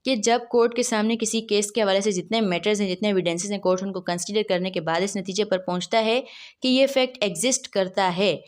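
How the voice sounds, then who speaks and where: A woman's voice: mid-range voice, a little mellow. Pakistani woman lecturing in a didactic style. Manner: didactic, asks questions and answers them herself. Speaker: law lecturer. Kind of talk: explanatory lecture.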